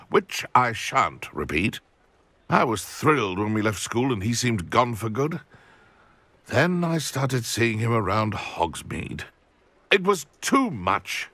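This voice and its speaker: Haughty, animated British schemer. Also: male voice, lowish voice, dry recording, exaggerated voice. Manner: haughty, sneering, fussily articulate. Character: spiteful, smug grown man nursing an old schoolboy grudge. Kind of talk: dramatic video game voice acting.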